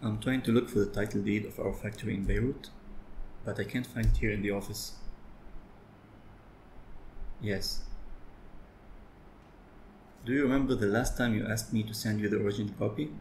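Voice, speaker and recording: A man in his thirties speaks in a subdued, businesslike manner for an office ASMR roleplay. His voice is on the low side and very even in pitch. It is recorded across a desk in a noticeably echoey office. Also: normal speed, long pauses. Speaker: ASMR performer playing an office worker. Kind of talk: ASMR office roleplay, phone talk and muttering over paperwork. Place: office with large windows over a street. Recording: roomy office echo, background noise.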